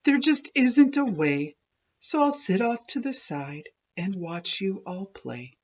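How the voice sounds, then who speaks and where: A sad young male storybook rock acted by a woman, apologetic and resigned. The words come slowly and plaintively, in a slightly dull recording. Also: mid-range voice, sing-song, fairly bright tone, full character voice. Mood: dejected.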